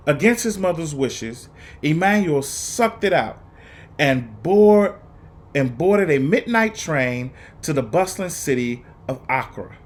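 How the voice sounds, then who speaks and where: A man reading a children's picture book aloud, phrasing it dramatically and deliberately with emphatic pauses. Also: on the high side. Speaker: storybook reader.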